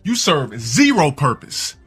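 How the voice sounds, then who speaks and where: Man with a high, animated voice, emphatic insults, music behind. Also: slow pace.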